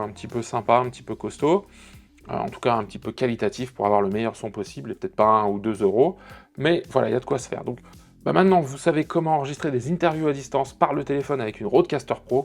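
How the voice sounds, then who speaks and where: Male tech host over background music. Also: mid-range voice. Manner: conversational, explanatory, addresses viewers directly. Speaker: host of a tech review channel. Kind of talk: casual product demonstration to camera.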